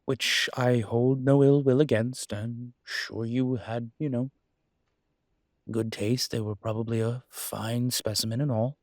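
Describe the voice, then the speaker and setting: A man playing a weary, earnest incubus demon in a dramatic audio roleplay, pleading diplomatically in a measured, halting way with dry asides. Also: medium pitch, steady tone, dry recording, natural voice. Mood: persuasive.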